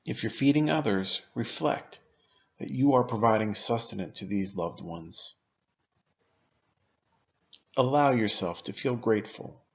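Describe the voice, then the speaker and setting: A man narrating a scripted guided meditation in a measured, instructive manner, pausing deliberately between phrases. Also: on the low side, highs cut off.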